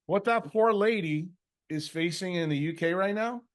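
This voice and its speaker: Blunt, profane man with higher-pitched voice. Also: normal speed. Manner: confrontational, profane, scolding; uses mocking imitations and rhetorical questions. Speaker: speaker leading a question session. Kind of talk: blunt unscripted lecturing in answer to a question.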